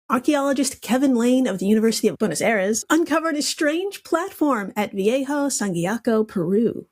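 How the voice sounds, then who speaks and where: A woman's voice: high voice, a little mellow. A woman with a giggly way of talking, presenting a trivia game as a podcast guest in a playful, casual style. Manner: giggly, breaks into laughter while talking.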